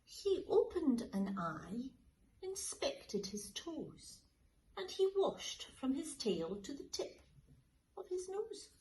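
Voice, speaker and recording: A woman teacher reading a children's picture book aloud in a slow, lilting, expressive way. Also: high-pitched, poor audio. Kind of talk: storybook read-aloud for children.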